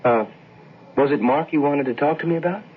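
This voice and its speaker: A man's voice: fairly high pitch, brisk, breathy edge, narrow, tinny audio. A man playing a kindly, solicitous church minister in a radio drama, earnest and reassuring. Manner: earnest, reassuring, repeats himself. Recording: band-limited old radio drama with music.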